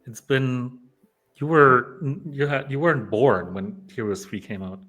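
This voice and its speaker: Polish-born guy streaming dev chat, rambly, um-heavy, middle-pitched voice. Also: measured pace, fairly bright tone. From Poland. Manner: rambling, many fillers and restarts. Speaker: game developer streamer. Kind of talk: casual live stream chat.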